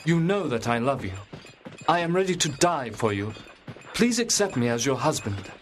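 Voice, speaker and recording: An actor playing an ardent, vain gentleman suitor in a dramatised period audiobook, effusive and pompous. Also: mid-range voice, very animated, normal speed, slight background noise, lightly acted. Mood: pleading, amorous.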